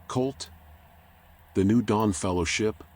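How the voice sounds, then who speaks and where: Sardonic raccoon detective for a noir game, lowish voice, short dry lines. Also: male voice, slow, darkish tone. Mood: guarded, dry. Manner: terse, dry, cynical. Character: male raccoon private eye, world-weary, sardonic, guarded. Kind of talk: video game dialogue, noir.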